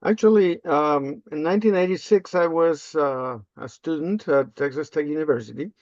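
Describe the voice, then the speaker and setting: An older man from Peru, a native Spanish speaker, answering interview questions casually and haltingly with frequent ums and uhs. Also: on the high side, a little mellow, no echo. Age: 50s or older. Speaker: interview guest, language access specialist. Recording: slightly dull, lo-fi close audio.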